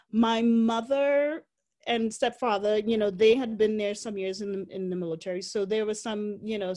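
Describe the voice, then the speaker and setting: A Trinidadian woman in her forties tells her own story in a relaxed interview. She speaks reflectively, often pausing on fillers and breaking into laughter. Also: mid-range voice. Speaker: guest.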